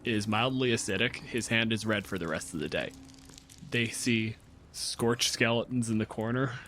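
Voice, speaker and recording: A man's voice: on the low side, normal speed, fairly bright tone, a little hiss or hum. A man narrating a tabletop game as game master in a casual, profane way.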